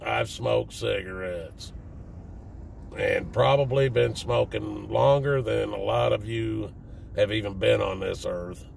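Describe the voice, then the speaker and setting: Southern US man, fifties, raspy and low, very gravelly; plain-spoken, slightly defensive vlogger talking off the cuff. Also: slow pace. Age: 50s. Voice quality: raspy, deep smoker's voice. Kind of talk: casual personal talk to viewers. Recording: distant mic with faint background noise.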